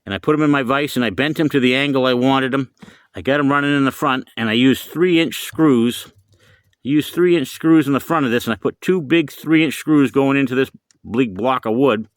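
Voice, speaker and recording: A man's voice: mid-range voice, normal speed, no echo. Middle-aged or older man rambling casually, dry sound. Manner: rambling, repeats himself. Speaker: hobbyist showing his CB radio. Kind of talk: casual vlog, informal demonstration. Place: inside a pickup truck.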